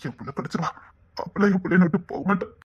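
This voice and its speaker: A man's voice: sits high, racing, slightly rough, muffled recording. A man playing a sobbing, desperate father. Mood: distraught. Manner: pleading, repeats phrases over and over. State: crying. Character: grief-stricken father, desperate and stubborn. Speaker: father searching for his lost child. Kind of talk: tearful serial drama acting.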